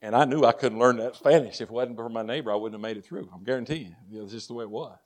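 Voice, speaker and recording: A man's voice: medium pitch, racing, darkish tone. An older man with a Texas accent preaching a sermon, telling personal mission stories in a folksy, earnest way with frequent restarts. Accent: Texan. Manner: folksy, earnest storytelling with repeated words.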